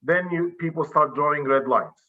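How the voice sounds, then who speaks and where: A man's voice: fairly high pitch, moderate pace, narrow, tinny audio. A man from Israel, giving an earnest, explanatory talk over a video call. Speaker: presenter, director of a civic center.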